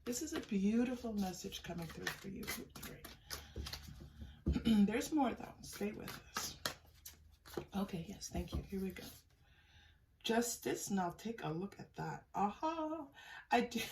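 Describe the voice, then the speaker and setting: A woman giving a pick-a-card tarot reading in a soft, unhurried, musing way, often trailing off and restarting, and thanking unseen guides. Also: medium pitch, lively intonation, gravelly, far from the mic, noisy background. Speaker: tarot reader.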